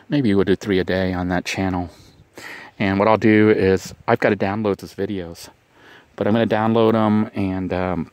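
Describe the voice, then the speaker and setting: Casual male vlogger, low-ish voice, earnest and rambling, recorded outdoors on a camera mic. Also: no room sound. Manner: rambling, earnest, repeats himself. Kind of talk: casual advice vlog. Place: outdoors on a vacant lot.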